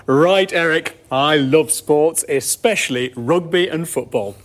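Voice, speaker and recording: A man's voice: on the high side, unhurried. A man, introducing himself as a sports expert in an enthusiastic, scripted way. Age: 40s.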